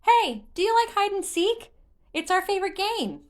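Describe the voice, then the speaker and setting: A woman voicing a tiny, childish wooden forest sprite, cheerful, playful and excitable, in a fan fiction audiobook. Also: normal speed. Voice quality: childish, high-pitched. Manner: excitable, enthusiastic.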